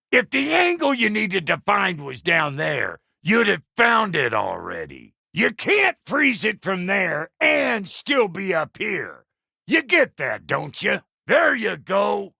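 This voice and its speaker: Game casting: gruff dwarf smith, very gravelly and piercingly sharp, folksy, impatient, irreverent. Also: male voice, high-pitched, no echo, studio quality, heavily acted. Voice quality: gravelly, raspy. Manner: folksy, irreverent, colloquial grammar. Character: gruff, foul-mouthed, impatient dwarf blacksmith, a man. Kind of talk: video game character dialogue.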